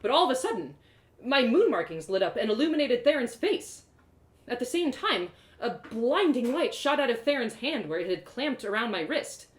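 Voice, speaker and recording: Expressive woman storyteller in a roomy space. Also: a little high. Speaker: storyteller reading own fantasy story aloud. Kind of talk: expressive first-person storybook narration. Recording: roomy echo.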